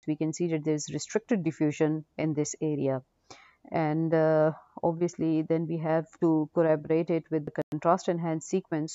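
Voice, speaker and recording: A woman with a low, mellow voice teaches as a radiology lecturer, talking through cases without a script. She explains hesitantly and repeats herself. The recording is dry and muffled. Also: no echo. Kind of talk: unscripted case-review lecture. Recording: dull, dry lo-fi audio.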